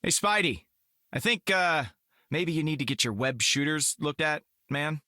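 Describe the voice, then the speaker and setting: An actor playing a laid-back male adventurer who talks in an easygoing, halting way in a video game. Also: on the high side, animated, bright, forceful tone, no room sound, studio quality. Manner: easygoing, hesitant with fillers.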